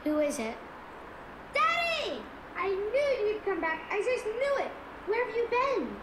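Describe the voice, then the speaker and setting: A woman playing an excited, adoring little girl in an audio drama. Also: extremely high pitch, measured pace, exaggerated voice. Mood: overjoyed. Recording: roomy, distant, noisy background.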